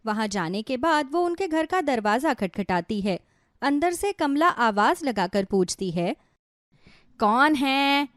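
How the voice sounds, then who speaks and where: A woman's voice: on the high side, big pitch swings, a little slow, dry recording. Lilting woman storyteller, dry close sound. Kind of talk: scripted moral-story narration.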